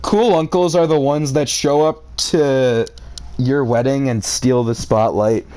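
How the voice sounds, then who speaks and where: A man's voice: medium pitch, steady tone, a little slow, dark tone, no room sound. A man reading a story aloud and reacting to it with theatrical, exclamatory commentary and sarcastic asides. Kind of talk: live read-aloud with outraged commentary.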